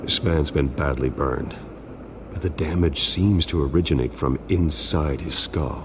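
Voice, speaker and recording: A man's voice: a bass voice, a little slow, noisy background. A man playing a grim masked vigilante detective in a video game, speaking in a terse, brooding, clinical way. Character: grim masked male vigilante detective examining a crime scene. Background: music.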